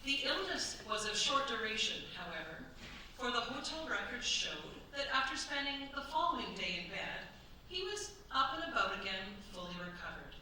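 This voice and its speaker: A woman narrating a staged radio play in a formal, measured, suspenseful style. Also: fairly high pitch, sing-song, bright, forceful tone. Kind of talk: dramatic scripted narration. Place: stage at a cultural centre. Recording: echoey stage, distant mic, faint noise.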